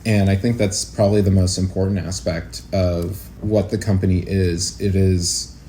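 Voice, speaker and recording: Low, mellow-voiced man in casual interview. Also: steady tone, normal speed, slight background noise. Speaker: interview guest, actor-producer and educator.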